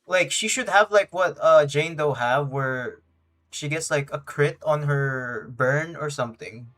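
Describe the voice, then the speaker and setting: A man's voice: on the high side, unhurried, fairly bright tone. A man chatting casually on a gaming livestream, rambling and thinking aloud in slangy speech. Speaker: game livestreamer. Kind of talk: casual gaming stream chat. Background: game music faintly behind.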